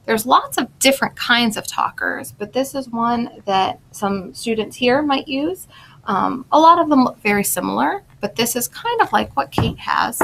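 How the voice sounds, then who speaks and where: Creaky-voiced woman explaining things to children. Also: a little high, lively intonation, normal speed, a bit bright, a little hiss or hum, rough recording. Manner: simple, instructional wording aimed at young listeners. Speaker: speech language pathologist presenting and reading a children's book. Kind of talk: explaining to children, with picture book read-aloud.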